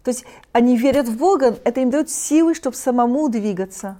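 A middle-aged woman from Switzerland, a native French speaker, talks in a soft, mellow voice. She speaks haltingly, with frequent restarts and filler sounds as she searches for words. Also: a little high, animated. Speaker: choir leader, guest. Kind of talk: interview speech.

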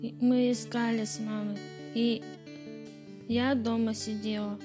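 A young woman with a raised pitch speaks very slowly. She gives a simple account in hesitant, broken phrases. Plucked guitar music runs underneath. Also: little pitch movement. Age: 20s. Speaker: interviewee. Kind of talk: simple personal account to camera.

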